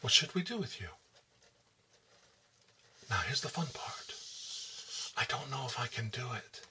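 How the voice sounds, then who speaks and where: A man performing an ASMR role-play as an eerie, softly menacing technician, in a breathy whisper with lingering, theatrical, repetitive phrasing. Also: expressive, measured pace, distant mic, background noise. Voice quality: breathy whisper mixed with soft low speech. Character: eerie male memory removal technician, softly menacing, nostalgic. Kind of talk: ASMR role-play, intimate dramatic monologue.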